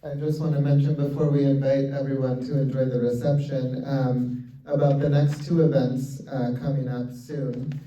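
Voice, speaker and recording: Male host doing announcements, monotone and dark, far-off muffled mic in a roomy hall. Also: medium pitch, moderate pace, slight background noise. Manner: casual, many fillers, upbeat. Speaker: event organizer and host. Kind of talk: announcements at a literary event. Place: event hall.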